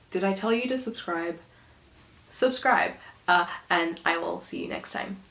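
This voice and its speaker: A young woman with an Australian accent talks in a chatty, giggly way, trailing off and laughing between thoughts. Her voice is a little hoarse and worn from being sick. The sound bounces around an echoey room at home. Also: fairly low pitch, takes it slowly, a bit bright. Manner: chatty, giggly, rambling with fillers. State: hoarse from recovering illness. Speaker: book vlogger. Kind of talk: casual chat to camera.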